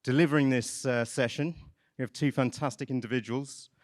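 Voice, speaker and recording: A man's voice: mid-range voice, steady tone, a little fast. Joking man introducing speakers, many fillers. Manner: jokey, hesitant with many fillers. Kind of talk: conference introduction. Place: large conference hall.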